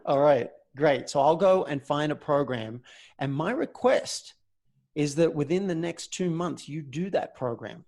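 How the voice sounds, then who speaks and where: Measured man coaching through a conversation. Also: a little high, animated, a little mellow. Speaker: host, communication coach.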